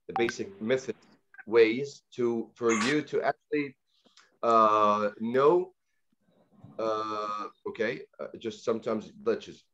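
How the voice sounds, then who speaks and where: A man from Egypt with an Egyptian Arabic accent, a native Arabic speaker, teaching an online language lesson in a halting way, full of fillers and repeated words. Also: mid-range voice, a bit bright, lo-fi sound. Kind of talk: online training talk.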